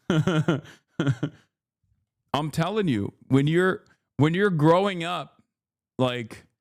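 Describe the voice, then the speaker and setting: A man in his thirties from Turkey, streaming and riffing on chat messages in a loud, joking, slangy way with plenty of swearing. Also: on the high side, animated, extremely slow, no echo, clean studio sound. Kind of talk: casual livestream chat.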